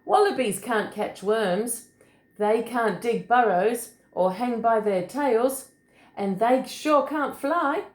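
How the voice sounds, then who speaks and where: A woman's voice: on the high side, slow pace, echoey room, voice pushed up. Woman reading as an earnest little boy wallaby, raised voice. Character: small young male wallaby, earnest and easily saddened. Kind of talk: children's storybook read aloud.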